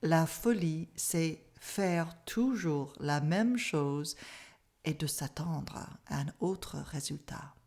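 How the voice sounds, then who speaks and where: A middle-aged Canadian woman whose first language is English speaks with a noticeable English-speaking accent. Her voice sits low, and she explains in a calm, measured, soft-spoken manner, like a mindfulness teacher guiding a class. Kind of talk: online masterclass presentation.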